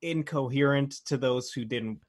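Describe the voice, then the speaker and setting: A man's voice: mid-range voice, normal speed. A man co-hosting a podcast, bantering in an informal, playful way. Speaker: podcast co-host. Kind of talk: casual podcast banter about games and films.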